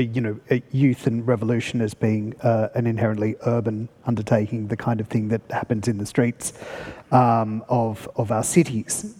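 Mellow Australian man hosting in a hall. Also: mid-range voice, breathy edge. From country New South Wales, Australia. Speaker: panel host. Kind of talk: moderating a live discussion. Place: festival venue hall. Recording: reverberant hall.

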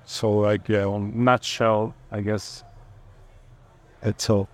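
A man from Hungary, a guest on a panel, introducing himself in a relaxed, plain-spoken way. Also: on the low side, takes it slowly. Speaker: panel guest, electronic music producer. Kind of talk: casual self-introduction.

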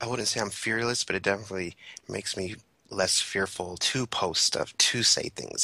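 Gravelly, low-voiced man talking casually. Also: sharp tone, no room sound, low-quality recording. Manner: informal, frequent "you know". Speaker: guest, metal singer. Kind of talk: casual reflective interview talk.

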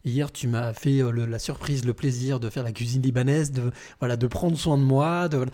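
A man hosting a podcast interview in a large room in a home, speaking warmly and stopping to search for words. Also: medium pitch, fairly even intonation, moderate pace. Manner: warm, searching for words with repeated restarts. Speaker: podcast host.

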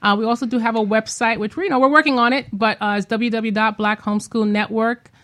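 A woman's voice: fairly high pitch, steady tone, fast talker, a bit bright. A woman speaking casually as a guest in a radio discussion. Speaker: guest, homeschool group organizer.

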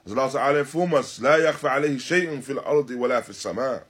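A man teaching in a religious lecture, deliberate and emphatic, repeating and rephrasing his phrases as he explains a text. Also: medium pitch, a bit bright, husky. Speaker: religious teacher giving a lesson. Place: Islamic centre, live stream.

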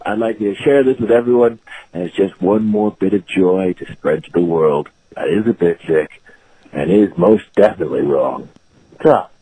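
A man's voice: sits low, moderate pace, dark tone, slightly rough, low-quality recording. A man from the United States telling a casual story as a caller to a podcast.

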